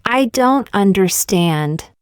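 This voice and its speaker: A woman reading short practice sentences aloud for learners, saying each one twice, slowly and carefully. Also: medium pitch, animated, smooth, no echo, clean studio sound. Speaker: English teacher.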